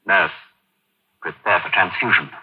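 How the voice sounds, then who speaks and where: An actor playing a grave, focused surgeon who gives clipped orders in a 1950s radio drama. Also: male voice, low-pitched, unhurried, husky. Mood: tense, focused. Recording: muffled, tinny old radio broadcast.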